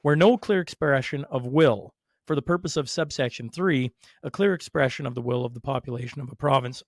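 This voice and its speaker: A man's voice: mid-range voice, no echo, studio quality. A man hosting a podcast, reading legal text aloud carefully and joking easily between passages. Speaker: podcast host.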